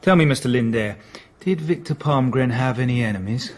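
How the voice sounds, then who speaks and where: An actor playing an unimpressed police detective in a radio drama, probing in a deadpan, laconic way. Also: male voice, mid-range voice, brisk, natural voice. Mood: calm, probing.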